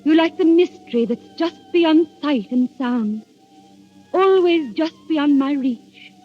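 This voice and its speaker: A woman's voice: sits high, expressive, takes it slowly. An actress playing a dreamy, earnest young woman in love, in soulful, formal 1930s radio drama style. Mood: searching, uneasy. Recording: dull vintage radio recording, music behind.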